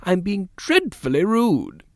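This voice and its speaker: An eager, inquisitive society lady whose voice rises high and swoops all over, as a man puts her on. She talks on and on with bright curiosity, in a dry, close storybook reading. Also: wildly swooping pitch, slow, dry recording, exaggerated voice. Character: chatty curious well-to-do older woman.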